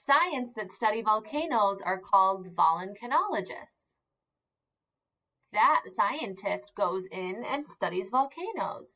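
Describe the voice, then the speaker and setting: A woman teacher reading aloud to young children, expressive and encouraging, using simple emphasized words and pausing to ask questions. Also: medium pitch, poor audio. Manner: encouraging, asks questions and pauses for answers. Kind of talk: interactive read-aloud lesson for young children.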